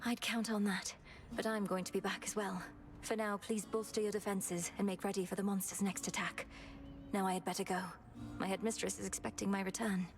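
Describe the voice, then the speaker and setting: A woman's voice: mid-range voice, little pitch movement, fairly quick, natural voice. A woman voicing an earnest, determined young goddess princess in a video game, with formal, courteous diction. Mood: polite, determined. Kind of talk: scripted video game dialogue. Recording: music behind the voice.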